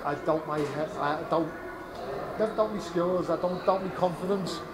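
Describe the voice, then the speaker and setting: A man's voice: on the high side, moderate pace. A British man in his twenties, a fighter talking candidly after a bout, rambling with many fillers and restarts. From United Kingdom. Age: 20s. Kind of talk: post-fight press interview. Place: fight venue media area. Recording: crowd noise behind. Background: crowd chatter.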